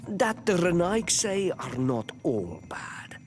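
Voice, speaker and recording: An actor playing a courteous, hopeful island king in a video game, speaking in a measured, earnest way. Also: high-pitched, sing-song, a little hiss or hum, heavily acted. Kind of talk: video game dialogue acting.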